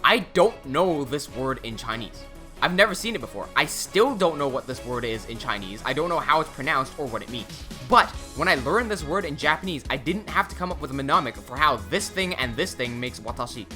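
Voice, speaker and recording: Young man, native English and Cantonese speaker, chatty self-mocking vlogger, animated delivery. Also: medium pitch, brisk, faint music behind. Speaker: language-learning YouTuber. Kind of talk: casual vlog narration.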